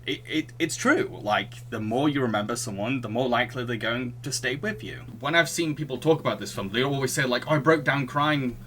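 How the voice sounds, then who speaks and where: British man, podcast host, casual chat, crisp bright voice, faint background noise. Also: medium pitch, fairly quick, few pauses. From United Kingdom. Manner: chatty, laughs often.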